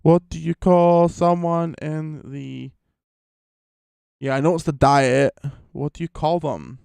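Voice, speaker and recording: A man's voice: fairly high pitch, takes it slowly, vocal fry, darkish tone, clear, resonant tone, no room sound. A man giving a casual, rambling film review, full of fillers and restarts.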